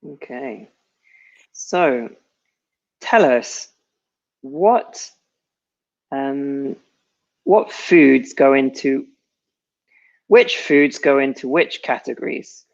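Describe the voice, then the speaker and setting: A man's voice: mid-range voice, very slow, creaky, long pauses, lo-fi sound. A man teaching English in a casual online lesson, patiently correcting pronunciation and joking with his co-host.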